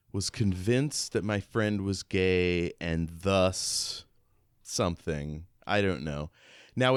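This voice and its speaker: A man's voice: low-pitched, smooth, dry recording, clean studio sound. A man co-hosting a podcast, talking casually and reflectively about a book in informal, thought-out phrases. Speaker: podcast co-host. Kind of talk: casual book discussion chat.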